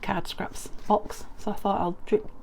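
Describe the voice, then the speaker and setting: A middle-aged woman from the UK with a croaky voice from a summer cold, chatting in a rambling, friendly way through a craft tutorial with many ums and restarts. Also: mid-range voice, flat delivery, a little slow, slight background noise. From United Kingdom. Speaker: crafting vlogger.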